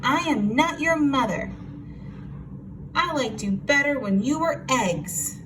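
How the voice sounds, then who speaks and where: A woman's voice: sits high, lively intonation, slow pace, pitched above the performer's own voice. A woman voicing a stern, grumpy male bear from a children's storybook, on a noisy recording. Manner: stern, grumbling, fussy. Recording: noisy, distant microphone.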